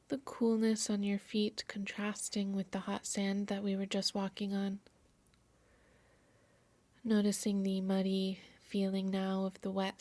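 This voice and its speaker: A woman yoga nidra instructor softly narrating a guided meditation in a soothing, measured, breathy voice. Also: mid-range voice, no echo. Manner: soft, soothing, measured delivery.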